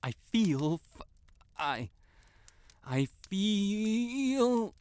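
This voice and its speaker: A man's voice: high voice, very animated, drawn-out words, darkish tone, clean tone, full character voice. A man playing a proud, lovestruck wizard in a romantic audio roleplay, haughty but stammering, blurting things out and backtracking. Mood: reluctant, flustered. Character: proud, flustered tsundere wizard secretly in love.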